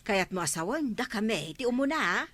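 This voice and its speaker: A woman's voice: medium pitch, animated, a little fast, fairly bright tone, no room sound. A woman with an Ilocano accent playing a bantering village woman in a comedy radio drama. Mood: teasing.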